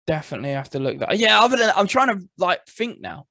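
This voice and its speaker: An English man on a podcast, agreeable and responsive. His pitch sits a little high and moves a lot. The sound is dry, with no room echo. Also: big pitch swings, fast talker, creaky, sharp tone. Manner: agreeable, responsive, short reactions. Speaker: podcast co-host. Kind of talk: casual chat.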